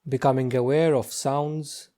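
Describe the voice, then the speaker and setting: A man leading a guided meditation as a teacher, speaking gently and slowly with long pauses. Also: mid-range voice, steady tone. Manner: slow, hushed, gentle delivery with long pauses.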